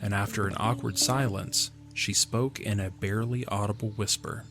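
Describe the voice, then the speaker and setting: A man's voice: fairly low pitch, fairly even intonation, normal speed. A man reading scripted story narration for an audio drama, in the manner of an audiobook. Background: background music.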